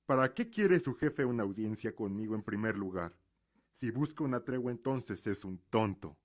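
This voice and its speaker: A man's voice: on the low side, expressive, normal speed, close to an everyday voice. A man playing a proud, grieving father and imperial commander in a radio drama, speaking formally and sternly with resolve. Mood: stern contempt. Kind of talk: Spanish-language radio drama acting. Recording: dull lo-fi audio.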